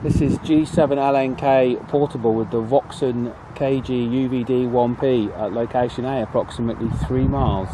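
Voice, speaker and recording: A man's voice: medium pitch, steady tone, moderate pace, hardly any pauses. A British man hosting a radio gear review in a casual, conversational way. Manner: informal, conversational. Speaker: radio review video host. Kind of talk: casual hands-on product review. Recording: very noisy, vehicle and radio noise.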